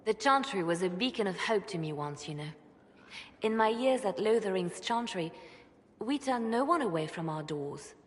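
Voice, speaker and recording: An actress playing a devout, idealistic reformist churchwoman in a video game, gentle and impassioned, speaking in measured, earnest oratory. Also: mid-range voice, animated, big-room sound, slight background noise, natural voice. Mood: wistful, devout. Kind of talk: dramatic video game dialogue.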